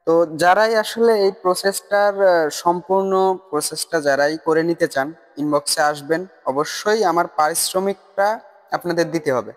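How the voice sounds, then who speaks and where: High-voiced man, long reverb, casual tutorial voice-over, insistent and repetitive. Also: normal speed. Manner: insistent, repetitive, addressing viewers as brother. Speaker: tutorial video creator. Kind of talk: casual explanatory voice-over for a screen recording. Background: voice-over recorded separately from the screen capture.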